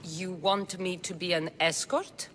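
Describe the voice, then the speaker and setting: A woman's voice: lowish voice, steady tone, a little slow, fairly bright tone, slight background noise. A woman playing a cocky, dry-witted mercenary of ancient Greece in a video game. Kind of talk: video game cutscene acting.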